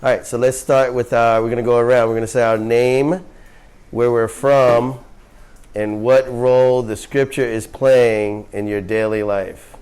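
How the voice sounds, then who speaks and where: A man's voice: mid-range voice, steady tone, measured pace, a little mellow, clean tone. A man teaching a yoga class, asking students playful, prodding questions. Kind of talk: leading a class.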